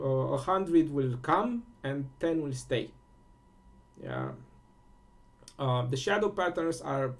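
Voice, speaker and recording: A man from Romania speaking English with a Romanian accent, giving a casual spiritual talk full of fillers, restarts and pauses. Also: fairly high pitch, creaky. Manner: halting, many fillers and restarts. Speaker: host.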